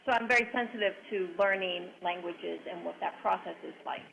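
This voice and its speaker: A woman whose first language is Spanish, a clinical psychologist answering a panel question over a remote link in a measured, deliberate way. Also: lowish voice, vocal fry, fairly bright tone, lo-fi sound, a little hiss or hum.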